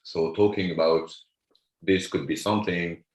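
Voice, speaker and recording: A man's voice: deep voice, steady tone, moderate pace. A man, chatting casually as a guest comedian, often repeating words as he searches for them. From France. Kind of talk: casual chat. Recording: roomy echo.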